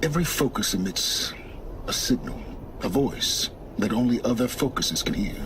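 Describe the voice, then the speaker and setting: An actor playing an aloof, impatient, secretive middle-aged wanderer and scholar in a video game, speaking in a measured, condescending, curt way. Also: male voice, mid-range voice, animated, noisy background, close to an everyday voice. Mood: guarded, explanatory. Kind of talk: video game cutscene dialogue.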